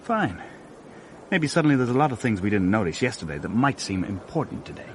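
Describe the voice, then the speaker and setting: A man playing an irritable, defensive film actor in a radio mystery drama, with a gruff, combative manner. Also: fairly low pitch, big pitch swings, a little fast, a little hiss or hum. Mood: defensive, edgy.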